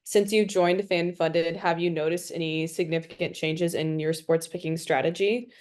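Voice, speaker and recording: Slightly low-pitched woman conducting an interview. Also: fairly even intonation, moderate pace, a little mellow. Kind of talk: casual interview questions.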